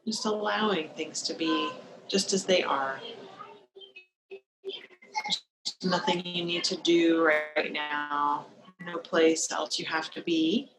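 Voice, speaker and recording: A woman leading a guided meditation as a teacher, speaking gently and soothingly, recorded over a video call. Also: low voice, over music, poor audio. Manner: gentle, unhurried, soothing instruction.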